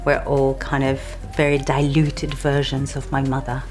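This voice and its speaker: A middle-aged woman from Chile, thoughtfully recalling her childhood in a documentary interview, with frequent pauses and ums. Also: a bass voice, completely flat delivery, a little mellow, soft background music. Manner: reflective, unhurried, frequent ums, light laughs. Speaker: artist interviewee. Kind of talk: documentary interview, personal recollection.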